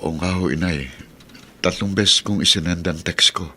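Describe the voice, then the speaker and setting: A man's voice: low-pitched, moderate pace, bright, forceful tone, slight background noise. A man playing a respectful, troubled adult son and father in radio melodrama. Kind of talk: Tagalog radio melodrama acting.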